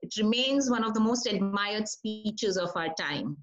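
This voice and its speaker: A woman teaches a writing session over a call. She speaks in a relaxed, conversational way and often stops to ask the group questions. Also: mid-range voice, unhurried, dull audio. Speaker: writing workshop leader. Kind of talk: online workshop presentation.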